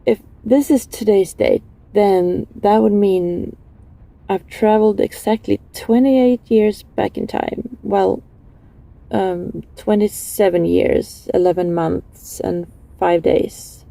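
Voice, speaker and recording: A woman playing a lovesick, desperately devoted former bully from the future in an intimate audio roleplay, speaking haltingly and emotionally in a soft, husky voice. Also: mid-range voice, low-quality recording. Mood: flustered, earnest. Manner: halting, emotional, breathy sighs and stammers.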